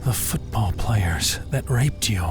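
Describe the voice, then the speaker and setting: A man plays a protective young guy with simmering anger in an audio drama. His voice sits low and he speaks slowly, in short, blunt, insistent phrases, with music underneath. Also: expressive. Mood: concerned.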